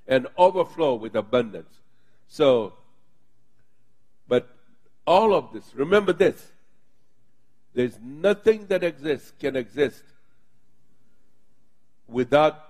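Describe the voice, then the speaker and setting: A man's voice: on the high side, darkish tone. A man preaching and leading his congregation in prayer, speaking fervently and with emphatic bursts. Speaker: pastor.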